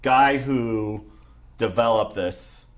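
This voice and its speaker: A man's voice: medium pitch, extremely slow. A man hosting a podcast, agreeing often and asking loose, casual questions. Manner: agreeable, frequent affirmations, asks loose questions. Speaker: podcast host. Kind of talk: casual interview chat.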